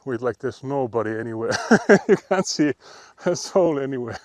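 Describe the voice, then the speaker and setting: A man's voice: mid-range voice, lively intonation, normal speed, no room sound, clean studio sound. A man with a Finnish accent, chatting casually and laughing often while live-streaming on a frozen lake. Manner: chatty, laughs often, reads chat aloud.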